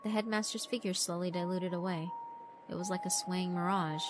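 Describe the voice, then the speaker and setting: Low-voiced woman narrating horror. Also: fairly even intonation, brisk, background noise. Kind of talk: scripted first-person horror narration. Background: background music.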